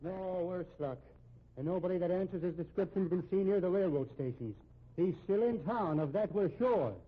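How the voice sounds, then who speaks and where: High-voiced folksy cop. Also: male voice, moderate pace, slightly rough. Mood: assured warning. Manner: folksy, talkative, assured. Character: chatty, unworried Irish-American male police officer. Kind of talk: 1950s radio drama acting. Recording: muffled, tinny old radio recording.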